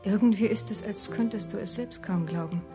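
An actress in a radio crime drama playing a perceptive, concerned wife who speaks in a soft, searching manner. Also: female voice, mid-range voice, fairly quick, music in the background, close to an everyday voice. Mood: concerned, probing.

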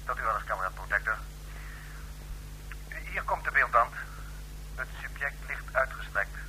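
A cold laboratory chief medic in a radio play. His voice is very bright and sharp, his delivery formal, methodical and clinical, over faint noise. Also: medium pitch, animated, moderate pace, rough recording. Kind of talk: radio drama acting in Dutch.

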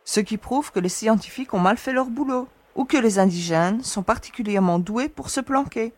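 An actress playing a shrewd, confident young woman explorer who speaks in a calm, assured and mildly teasing way. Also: on the high side, normal speed, dry recording, lightly acted. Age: young adult. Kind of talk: audio drama acting.

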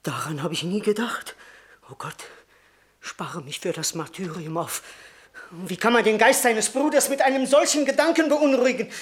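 An actor playing a cowardly, dissolute drunkard posing as a martyr in a German radio play, whining, excitable and self-righteous. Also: male voice, high voice, moderate pace, close to an everyday voice. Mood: panicked.

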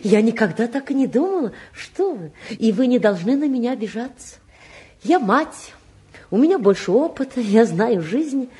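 A woman's voice: a little high, very animated, normal speed, warm tone, husky, exaggerated voice. An actress playing a proud, worried middle-aged mother with an emphatic, formal manner in a 1950s Soviet radio drama. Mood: flustered, insistent.

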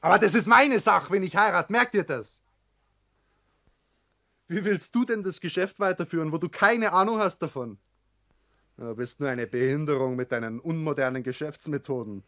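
An actor with a Bavarian accent playing a builder's ambitious, rebellious grown son in a 1960s German radio drama, blunt and reproachful. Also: male voice, fairly high pitch, lively intonation, moderate pace, bright, forceful tone. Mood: scornful. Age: young adult.

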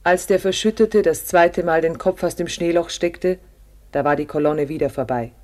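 Man playing radio-play narrator, slightly high male voice, grave, measured, short sober sentences. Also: darkish tone, lightly acted. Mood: grave, tense. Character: grave storyteller narrating a survival ordeal. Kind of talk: scripted radio drama narration.

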